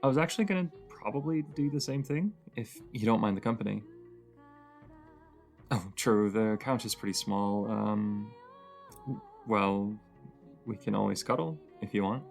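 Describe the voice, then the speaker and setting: A sleepy, grumbly young roommate voiced by a woman in a cozy audio roleplay, over a bed of music. The voice is low for a woman and full of creak. The delivery is soft, casual and halting, playfully teasing with a shy fondness. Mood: casual, caring.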